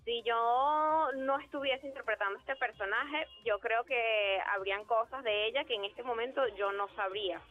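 Earnest actress on a phone line. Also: female voice, fairly high pitch, moderate pace, hardly any pauses. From Venezuela. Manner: earnest, thoughtful, with many hesitations. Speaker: actress, interview guest. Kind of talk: phone interview. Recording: tinny phone line with faint music.

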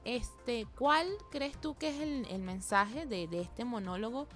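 A woman's voice: fairly high pitch, lively intonation, slow pace, a bit bright, soft background music. A woman hosting an interview, friendly and encouraging as she asks her questions. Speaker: host, interviewer. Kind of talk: radio interview.

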